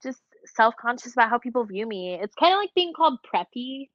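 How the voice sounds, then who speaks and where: A woman's voice: on the high side, very animated, brisk, no room sound. Chatty young woman, muffled sound. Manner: chatty, rambling, heavy use of "like". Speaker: podcast co-host. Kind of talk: casual chat.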